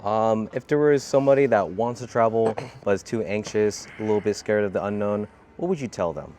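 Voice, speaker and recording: A man's voice: lowish voice, brisk, darkish tone, slight background noise. A man hosting a street interview, asking short questions and offering brief encouragement. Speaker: interviewer, host. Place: outdoors in a city.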